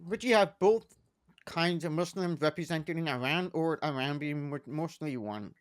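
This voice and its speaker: A man co-hosting a podcast, reading the guest introduction in a measured, steady way. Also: a little high, no echo, studio quality. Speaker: podcast co-host. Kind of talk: read introduction and questions.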